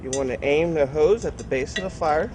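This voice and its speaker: A man gives step-by-step instructions in a slightly high voice. The recording is very noisy, with loud background sound behind him. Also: expressive, fairly quick. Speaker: safety coordinator giving a demonstration. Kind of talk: instructional demonstration. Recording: very noisy, clicks and vehicle sounds.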